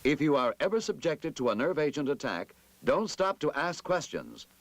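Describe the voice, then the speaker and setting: A man narrating a scripted 1960s military instructional film in a formal, emphatic, measured manner. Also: fairly high pitch, big pitch swings, dry recording.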